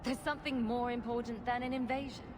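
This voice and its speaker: A woman's voice: on the high side, fairly even intonation, fast talker, natural voice. An actress playing a humorous, sarcastic female rogue hero with a dry way of speaking. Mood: wry. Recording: game audio over ambient noise.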